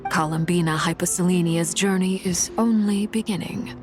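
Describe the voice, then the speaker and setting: Woman acting composed formal noblewoman from a game, lowish voice, measured and grave, music playing behind. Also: steady tone, natural voice. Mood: gentle, grave. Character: composed, solemn noblewoman agent, formal and quietly caring. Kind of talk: dramatic video game dialogue.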